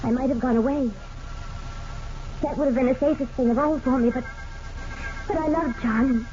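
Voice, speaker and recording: An actress playing an anxious, secretive, jealous wife in a 1940s radio mystery, speaking haltingly. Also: female voice, high voice, mellow, close to an everyday voice. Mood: brooding, regretful. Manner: breathy hesitations, halting repeated words. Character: anxious, secretive, jealous wife narrating her guilty past. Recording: noisy, dull old radio recording.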